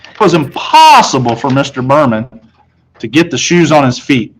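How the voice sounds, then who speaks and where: A man's voice: mid-range voice, very animated, normal speed, husky, poor audio. Man reading a children's story aloud. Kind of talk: read-aloud storytelling, first-person narration.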